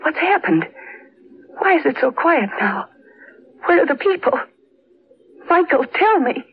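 An actress playing a frightened wife in a deserted city, halting and urgent, in 1940s radio drama style. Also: female voice, high voice, very animated, crowd noise, full character voice. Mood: dread. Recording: noisy, muffled old radio recording.

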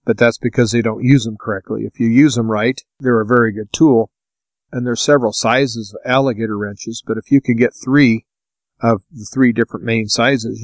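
A man hosting a talk podcast solo, giving practical, plainspoken advice in informal, deliberate phrases with short pauses. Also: medium pitch, no room sound. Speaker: podcast host.

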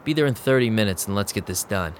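A man's voice: lowish voice, expressive, fast talker, slight background noise, close to an everyday voice. A man playing a wry, streetwise vampire DJ, narrating an audio drama in a sardonic, casual way. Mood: sarcastic, wary.

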